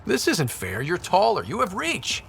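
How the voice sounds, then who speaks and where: An actor playing a young, easygoing human explorer in a video game, casual and lightly teasing. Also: male voice, high-pitched, huge pitch swings, a little slow, gravelly, fairly bright tone, a little hiss or hum, heavily acted. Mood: playful. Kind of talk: naturalistic video game dialogue acting.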